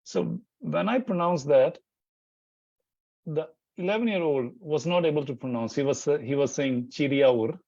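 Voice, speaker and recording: A man's voice: fairly high pitch, normal speed, vocal fry, a little mellow, no room sound, highs cut off. A man speaking as an interview guest, rambling with many restarts and fillers. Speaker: Hindi teacher, interview guest. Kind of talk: casual interview chat.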